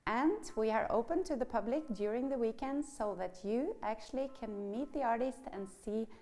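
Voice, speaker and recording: A woman's voice: on the high side, normal speed, dark tone. A middle-aged woman, a gallery owner, giving a welcoming, informative introduction.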